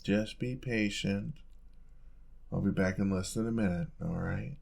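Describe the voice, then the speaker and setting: A man acts out a young male roommate in a cozy boyfriend-style audio roleplay. His voice sits fairly low with a strong crackle of fry. He speaks softly and casually, affectionate and playfully teasing as he coaxes the listener. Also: measured pace, full character voice. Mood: gentle, caring. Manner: soft-spoken, teasing, casual, coaxing.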